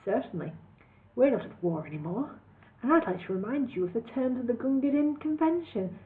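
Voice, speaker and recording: A suave, even-tempered elf warrior, played by a woman reading aloud. He speaks quietly, low and smooth. The recording is thin and tinny. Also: a little fast, a little hiss or hum, lightly acted. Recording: tinny phone-like sound.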